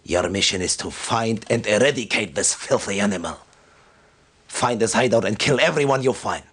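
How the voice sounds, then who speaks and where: An actor playing a stern, devout military commander who gives solemn, formal and deliberate briefings in a video game. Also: male voice, on the low side, animated, a bit bright, slightly rough. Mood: solemn, vengeful.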